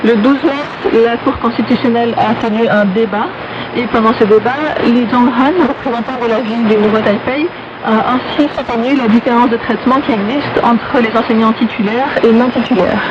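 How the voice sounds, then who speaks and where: A woman presents an explanatory news report in a fairly high voice. She comes through a shortwave radio broadcast, with dulled sound and very heavy noise all around her words. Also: steady tone, normal speed, dull audio.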